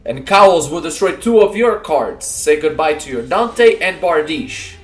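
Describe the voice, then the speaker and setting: A man's voice: a little high, lively intonation, unhurried. Male actor as confident card duelist, theatrical and formal, fan anime roleplay, echoey sound over music. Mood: confident, calculating. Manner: declamatory, announces each move formally. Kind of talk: fan roleplay anime-style duel acting. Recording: roomy echo under music.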